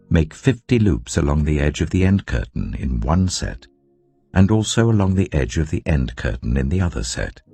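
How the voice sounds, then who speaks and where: Very deep gravelly male Bible reader. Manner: measured, deliberate reading. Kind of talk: scripted scripture reading. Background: soft piano music.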